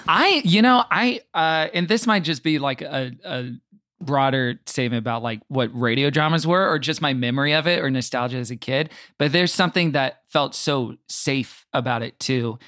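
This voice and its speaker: A man's voice: medium pitch, normal speed, bright, forceful tone, no room sound, studio quality. A man chatting casually as a comedic podcast guest, rambling and joking as he interrupts himself.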